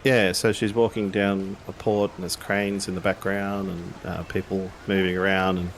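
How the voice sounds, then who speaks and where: A man narrating a tabletop game as game master, improvising in a halting way as if thinking aloud. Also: low voice, little pitch movement, a little hiss or hum.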